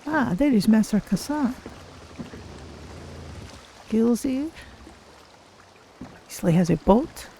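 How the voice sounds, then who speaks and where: A gentle, courteous cat-folk adventurer as a streamer plays it, thinking aloud in a fantasy game. The voice is mellow with a creaky edge, over clear ambient noise. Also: mid-range voice, lively intonation. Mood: musing. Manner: unhurried, musing, courteous. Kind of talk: in-character video game stream narration.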